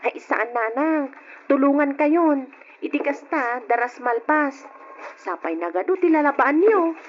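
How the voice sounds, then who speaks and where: An eager young pregnant wife. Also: female voice, high voice, lively intonation, measured pace, slight background noise. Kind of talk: old radio drama acting. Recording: dull old radio recording.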